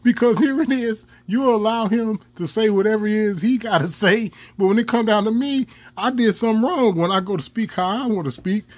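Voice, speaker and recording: A man's voice: sits high, a little fast, few pauses, dry recording. A man, ranting angrily in a profane commentary. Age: 40s. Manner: profane, heated, laughs mid-rant.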